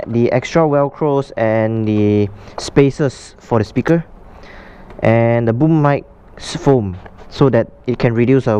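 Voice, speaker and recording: Guy doing a casual unboxing vlog, soft mellow tone, clean smooth voice, kinda halting. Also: medium pitch. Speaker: motovlogger presenting a product unboxing. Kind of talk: casual unscripted product walkthrough.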